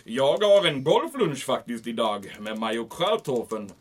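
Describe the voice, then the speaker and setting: An actor playing a condescending camp commandant who mocks and brushes off requests. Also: male voice, medium pitch, very animated, soft background music. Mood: smug. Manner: condescending, mocking. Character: smug, mocking male camp commandant.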